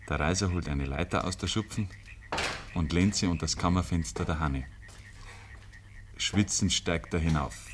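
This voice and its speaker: A man with a Bavarian accent narrating a 1950s radio play in measured, rustic storytelling. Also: sits low. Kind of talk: scripted literary radio narration. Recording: noisy old 1950s radio recording.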